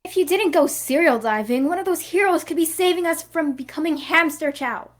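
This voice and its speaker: Woman playing a high-pitched, snarky cartoon girl. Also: brisk, lightly acted. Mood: sarcastic. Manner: sarcastic. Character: snarky young girl, the hero's cousin.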